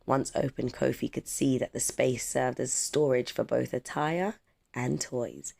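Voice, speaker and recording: A storyteller reading a children's picture book aloud in measured, expressive phrases. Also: medium pitch, gravelly. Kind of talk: children's storybook read-aloud, scripted narration.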